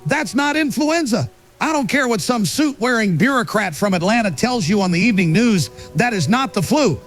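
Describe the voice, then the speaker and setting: A man's voice: high voice, expressive, normal speed, fairly bright tone, faint music behind. A man playing a fervent conspiracy-minded broadcaster in an audio drama, warning emphatically with repetition and rhetorical questions. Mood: indignant. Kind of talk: alarmist monologue in an audio drama.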